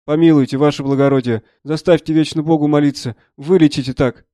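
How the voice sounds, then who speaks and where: A man's voice: mid-range voice, steady tone, fairly quick, a little mellow, no echo, studio quality, close to an everyday voice. A man acting an earnest, folksy wounded soldier. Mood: pleading. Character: wounded veteran Russian soldier, plain-spoken, plucky, deferential to officers. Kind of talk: dramatised audiobook character voice.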